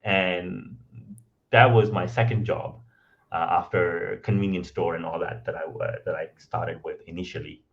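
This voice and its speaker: Man, low voice, non-native English speaker, chatty storyteller, dull lo-fi audio. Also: steady tone, a little slow, gravelly. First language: not English. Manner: frequent ums and restarts, tag questions of "right?". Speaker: podcast guest. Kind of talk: conversational storytelling.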